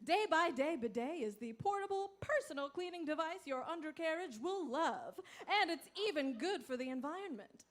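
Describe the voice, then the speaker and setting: A woman's voice: high-pitched, expressive, normal speed, hardly any pauses. A woman playing a chirpy, pushy saleswoman in a parody radio commercial. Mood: peppy, salesy. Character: peppy, pushy infomercial saleswoman.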